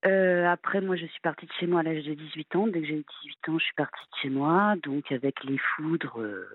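A woman in her fifties from France calling a late-night radio show, halting and hesitant with many fillers, heard over a phone line. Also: sits low, slightly rough, dry recording. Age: 50s. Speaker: caller.

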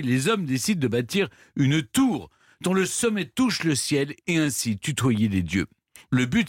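Male radio history host, husky, animated storyteller, dry studio. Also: mid-range voice, dry recording. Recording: dry studio sound with faint music behind.

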